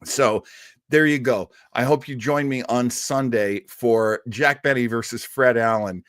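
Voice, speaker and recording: A man's voice: medium pitch, measured pace, a bit bright, no echo, clean studio sound. A man hosting a casual livestream, rambling and chatty with lots of fillers and restarts while answering viewer comments. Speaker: host of an old-time radio livestream.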